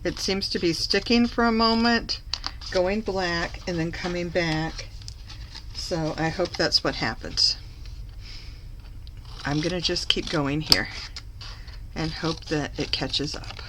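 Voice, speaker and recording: A woman recovering from a cough, slightly hoarse, chatting through a papercraft tutorial as she works and correcting herself along the way. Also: on the low side, slow pace, pauses often, background noise. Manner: chatty, self-correcting, talks while working. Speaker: papercraft demonstrator. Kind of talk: live craft tutorial.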